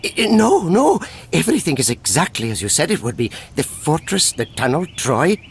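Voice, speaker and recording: An actor playing a deferential, nervous underling to a villain general in a fantasy game cutscene. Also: male voice, a little high, sing-song, normal speed, slight background noise. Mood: frightened, fawning. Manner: deferential, stammering when challenged. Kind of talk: dramatic game cutscene acting. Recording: faint background ambience.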